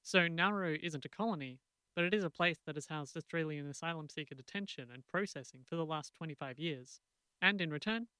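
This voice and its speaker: A man presenting a radio segment, moving between scripted narration and interview questions in a crisp, measured delivery. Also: fairly high pitch, pushed and bright, no echo.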